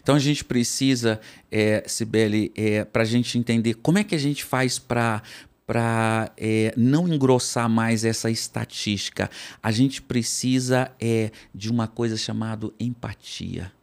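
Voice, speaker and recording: A man with a São Paulo accent talks as an interview guest, laying out his points with examples and stories. His voice carries a gravelly edge, sitting at an ordinary middle pitch for a man. He often repeats a word or two while gathering his thought. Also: steady tone, measured pace. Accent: São Paulo Portuguese. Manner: explanatory, anecdotal, repeats words while thinking. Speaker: psychoanalyst guest. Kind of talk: interview conversation.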